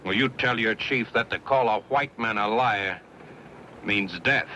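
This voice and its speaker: A man's voice: lowish voice, unhurried, bright, forceful tone. An actor playing a hard, defiant frontiersman, blunt and challenging, in an old western radio drama. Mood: menacing challenge. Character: hard, stubborn, defiant frontiersman guarding his rifles. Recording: old radio recording with clear background noise.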